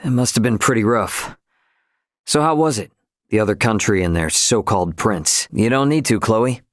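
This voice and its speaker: A man's voice: medium pitch, no room sound, studio quality, lightly acted. An actor playing a brash, informal young starship captain, a cocky but loyal man, in a video game. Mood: relaxed, friendly. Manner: informal, slangy, plain-spoken. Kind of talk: English game dub character dialogue.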